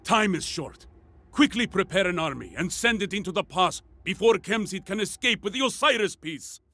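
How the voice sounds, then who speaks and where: An alarmed soldier rallying an army in a game cutscene. The voice is high, forceful and dry, commanding and urgent. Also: male voice, expressive, moderate pace, no echo, heavily acted. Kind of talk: video game cutscene acting.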